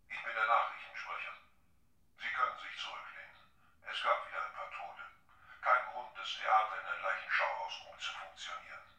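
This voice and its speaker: An actor playing a cynical, matter-of-fact newsreader in a radio drama, addressing the audience formally and nonchalantly. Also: male voice, on the low side, fairly quick, pauses often, pushed and bright. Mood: detached, sardonic. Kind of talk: mock news announcement in radio drama. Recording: very echoey, distant, noisy with clicks.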